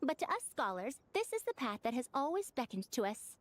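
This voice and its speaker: High, lively, proud young scholar. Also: female voice, brisk. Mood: lecturing. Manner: boastful, pedantic. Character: proud, self-assured female scholar, youthful and lecturing. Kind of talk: video game voice acting.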